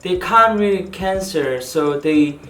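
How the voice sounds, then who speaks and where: Slow-talking man in an echoey room. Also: fairly high pitch, expressive, pushed and bright. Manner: short, halting replies. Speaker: co-host. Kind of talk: casual chat. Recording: echoey room with faint hiss.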